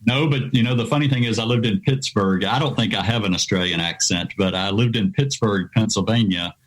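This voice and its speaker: A man's voice: lowish voice, brisk, husky, rough recording. An American man, an adventure travel writer, being interviewed on a podcast, rambling with lots of "you know" fillers. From United States.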